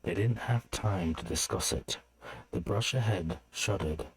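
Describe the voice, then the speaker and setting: A man's voice: deep voice, very animated, a little slow, vocal fry. A man reading scripted fantasy story narration as a narrator.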